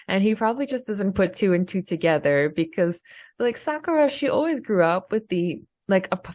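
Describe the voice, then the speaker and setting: A woman's voice: lowish voice, a little mellow, no echo. A woman co-hosting a discussion podcast, moving between prepared narration and conversational talk. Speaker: podcast co-host. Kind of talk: book discussion podcast, partly read summary.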